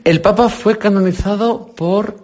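A man's voice: high voice, a little mellow. A middle-aged man with a Spain Spanish accent, a radio presenter running an encouraging quiz with a children's group. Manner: encouraging, quizzing with quick questions. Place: indoor visit with a children's group.

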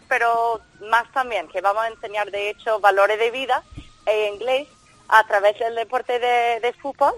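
A woman with an American accent speaks Spanish as a second language in a radio interview. She answers with enthusiasm and laughs easily. Her voice sits at a middle pitch on a very dry studio mic. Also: fairly bright tone, dry recording, low-quality recording. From Washington, D.C., United States. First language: English. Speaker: guest, football school founder.

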